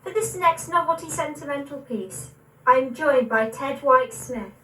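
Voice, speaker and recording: A young woman of eighteen, reading scripted poetry in a measured, deliberate way. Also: sits high, bright, forceful tone, low-quality recording. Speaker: poetry reader.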